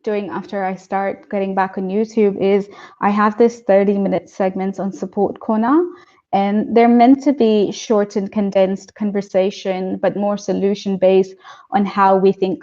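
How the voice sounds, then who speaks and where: A woman guest in a casual video-call chat, talking quickly and breaking into laughs as she jokes. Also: medium pitch, flat delivery, dark tone, muffled recording. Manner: chatty, joking, quick to laugh.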